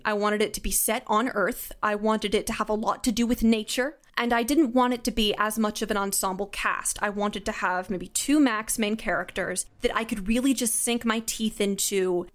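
A young adult woman answering interview questions as a podcast guest, conversational, articulate and enthusiastic. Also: on the high side. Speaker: audio drama creator, podcast guest.

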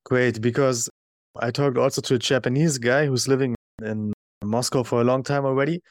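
A man hosting a podcast, asking questions in a steady, chatty way. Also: mid-range voice, normal speed, dry recording, studio quality. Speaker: podcast host. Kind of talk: interview questions.

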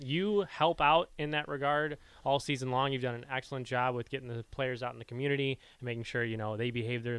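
A man's voice: medium pitch, a bit bright, clear, resonant tone, no echo. A young man hosting a radio sports show, chatting casually and laughing easily. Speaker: radio host and broadcaster.

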